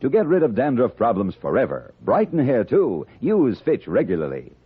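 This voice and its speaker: A man's voice: mid-range voice, huge pitch swings, moderate pace, slightly rough. An American man reading a vintage radio advertisement as an emphatic, salesmanlike announcer. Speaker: commercial announcer. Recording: dry, tinny vintage radio recording.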